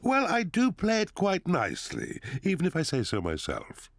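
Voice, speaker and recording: A smug, jolly daddy pig. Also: male voice, high-pitched, wildly swooping pitch, normal speed, creaky, no room sound, clean studio sound, full character voice. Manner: self-satisfied, pleased with himself. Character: proud, jolly father pig. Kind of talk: cartoon voice acting.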